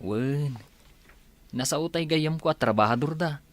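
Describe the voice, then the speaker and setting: A woman's voice: sits low, measured pace. A Filipino woman voicing a concerned, gossipy young village husband in a radio drama. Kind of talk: Ilocano radio drama acting.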